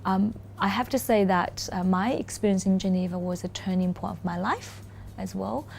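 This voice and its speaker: A woman in her thirties from China, a Mandarin native speaking English with a Chinese accent, answering interview questions thoughtfully, with frequent "um" pauses and restarts. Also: medium pitch, gravelly, darkish tone, slight background noise. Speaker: corporate lawyer, interview guest. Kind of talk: relaxed interview conversation.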